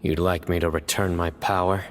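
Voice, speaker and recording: A man's voice: low-pitched, little pitch movement, normal speed, faint music behind, lightly acted. An actor playing a reserved young male judge in a video game, speaking in a terse, controlled way. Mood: guarded. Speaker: General Mahamatra.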